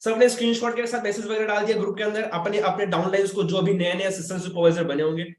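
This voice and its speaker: A man's voice: high voice, flat delivery, very fast. A young man from Chhattisgarh hosting an online webinar, talking in a chatty, playful way and speaking straight to his viewers over a video call. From Chhattisgarh, India. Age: young adult. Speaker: webinar host. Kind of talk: casual online webinar talk. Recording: echoey room sound.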